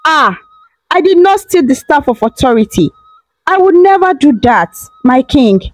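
Woman doing a tearful accused girl in a storybook, high and desperate, begging. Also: very animated, moderate pace, no echo, soft background music, poor audio. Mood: tearful pleading. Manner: desperate, begging through tears. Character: young accused servant woman, pleading and tearful.

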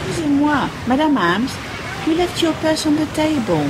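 A woman playing a courteous, high-voiced French fortune teller. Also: very animated, normal speed. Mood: polite, helpful. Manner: formal, courteous, earnest. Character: polite, earnest female French fortune teller. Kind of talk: amateur radio play acting. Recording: noisy amateur radio play recording.

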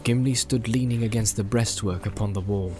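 A man's voice: fairly low pitch, fairly quick. Solemn male audiobook narrator over music. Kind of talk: dramatic scripted narration of fantasy novel.

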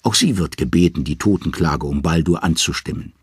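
Male saga narrator: very low, husky voice, scripted dramatic storytelling. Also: brisk, no echo, clean studio sound. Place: dry studio.

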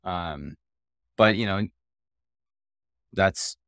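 A man hosting a podcast in a casual interview style, halting and now and then stuttering. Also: sits low, gravelly, fairly bright tone, no room sound, studio quality. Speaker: podcast host.

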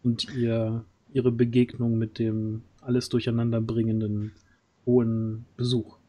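Mellow man hosting a livestream. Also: medium pitch, completely flat delivery, muffled recording. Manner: halting, searching for words. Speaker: stream host. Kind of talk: casual livestream chat. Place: indoor home streaming setup. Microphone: close studio microphone on arm.

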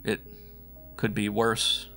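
A man's voice: fairly low pitch, monotone, takes it slowly, creaky, bright, forceful tone, soft background music, exaggerated voice. A man voicing a wounded male space scout, subdued and earnest, in a science fiction story.

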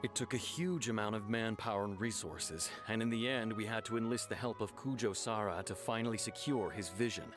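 A man plays a serious young officer of the guard in an anime game. His fairly low voice is formal and measured, with earnest sternness. Music runs underneath. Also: natural voice. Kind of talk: anime video game dialogue. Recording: music behind the dialogue.

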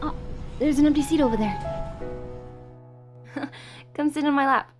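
A woman's voice: sits high, normal speed, faint music behind, pitched above the performer's own voice, natural voice. A woman voicing a composed, teasing, affectionate teenage schoolgirl in an amateur comic fandub.

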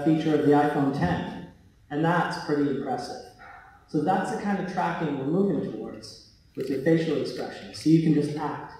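Man, higher-pitched voice, informal stage talk, casual halting delivery, distant from the mic, long hall reverb. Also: darkish tone. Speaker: tech company co-founder giving a talk. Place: large theatre stage, indoors. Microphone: handheld microphone, recorded by a far camera. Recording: very echoey hall, heard from far off.

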